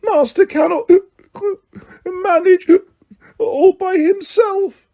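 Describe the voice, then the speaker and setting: A man voicing a tiny female house elf who is drunk and crying, with a squeaky, slurred, halting voice. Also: animated, mellow, heavily acted. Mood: tearful drunk. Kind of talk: audiobook character voice.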